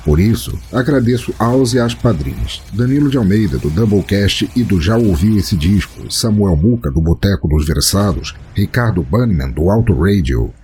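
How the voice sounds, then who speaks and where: A man's voice: on the low side, expressive, moderate pace, hardly any pauses, warm tone, a little hiss or hum. A Brazilian man hosting a podcast, reading a scripted introduction in a grandiloquent, tongue-in-cheek theatrical manner. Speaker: podcast host.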